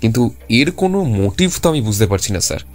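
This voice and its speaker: A man's voice: fairly low pitch, big pitch swings, racing. An actor playing an earnest junior police officer who reports respectfully to his superior in an audio drama. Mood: startled, urgent. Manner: deferential, addressing his superior as sir. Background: background music.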